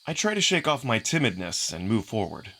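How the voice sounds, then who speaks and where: A man's voice: on the low side, very animated, fairly quick, bright, forceful tone. A man narrating a visual novel in the first person as a dramatic story reading. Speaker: narrator and voice performer.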